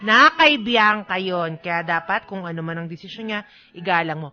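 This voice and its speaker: A woman's voice: fairly low pitch, moderate pace, pushed and bright, muffled recording. A woman with a Filipino accent co-hosting a radio show, giving blunt, emphatic advice in casual banter. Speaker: radio DJ co-host.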